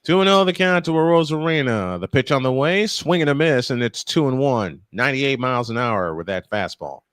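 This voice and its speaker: Lively male baseball announcer, dry close sound. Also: medium pitch, brisk, no echo. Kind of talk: live sports commentary with anecdotes.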